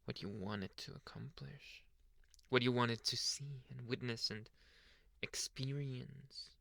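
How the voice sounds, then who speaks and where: Man playing a slow, creaky-voiced possessive werewolf. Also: medium pitch, fairly bright tone, dry recording. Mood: coaxing, possessive. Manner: intimate, halting, breathy murmurs drifting into whispers. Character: possessive young male alpha werewolf, tender but menacing. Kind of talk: ASMR roleplay addressed to the listener.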